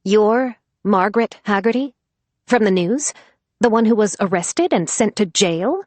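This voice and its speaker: A woman's voice: medium pitch, lively intonation, unhurried, darkish tone, dry recording, voice pushed up. A woman doing a flustered, stammering mother. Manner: stammering, nervous.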